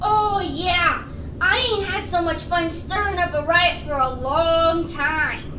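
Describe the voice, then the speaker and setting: Comic radio henchman: extremely high, goofy, dim but eager, servile mobster slang; noisy recording. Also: slow pace. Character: dim-witted, eager gangster henchman, squeaky, apologetic. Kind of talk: comic radio theater acting. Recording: roomy, echoing, clearly noisy recording.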